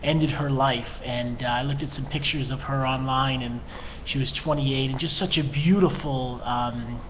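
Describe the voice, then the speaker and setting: A man's voice: mid-range voice, fairly even intonation, moderate pace. Earnest, slightly tearful man, dull lo-fi sound. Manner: earnest, heartfelt, pleading. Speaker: mental health educator, therapist and coach. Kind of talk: earnest personal talk to camera. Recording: dull lo-fi sound, faint background noise.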